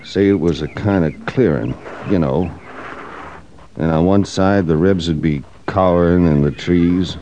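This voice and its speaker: An actor playing a talkative, brooding cavalry veteran in an old radio western, speaking in a folksy American drawl. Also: male voice, sits low, unhurried, dark tone, dull audio, a little hiss or hum. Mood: haunted, intense. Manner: folksy drawling frontier soldier speech.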